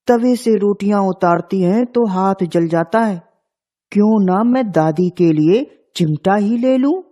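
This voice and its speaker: A young village boy, acted by a woman in a storybook tale, earnest, brave and proud. The voice is mellow and unhurried, with a caring, determined manner. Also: medium pitch. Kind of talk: children's storybook dramatisation.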